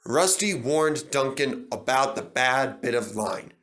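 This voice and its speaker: Brief: male storybook narrator, lively and bright, pitched a bit high. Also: takes it slowly. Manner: animated, expressive. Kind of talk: storybook reading.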